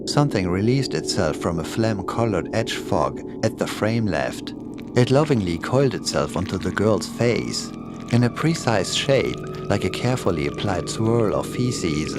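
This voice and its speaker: Low-voiced man narrating horror over music. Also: very animated, a little slow. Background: creepy music and sound effects.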